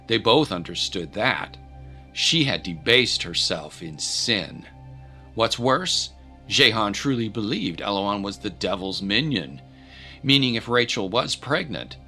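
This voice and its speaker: A man's voice: lowish voice, bright, forceful tone. A man narrating a scripted historical audio drama in a grave, theatrical manner.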